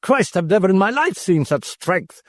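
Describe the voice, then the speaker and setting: A man's voice: high-pitched, big pitch swings, moderate pace, no echo, clean studio sound. A man voicing a foul-mouthed, indignant dead man in an audiobook, chatty and aggrieved.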